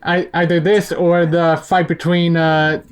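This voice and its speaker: A man with a slightly high voice chats casually. He sounds informal and supportive of the people he talks with. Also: level tone, unhurried, clear, resonant tone. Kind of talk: casual chat.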